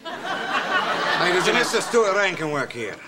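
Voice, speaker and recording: A man's voice: high voice, wildly swooping pitch, slow, heavy background noise, full character voice. A man playing a brash, combative, hard-drinking Glaswegian brother in a comedy sketch, with a Scottish accent. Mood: casual.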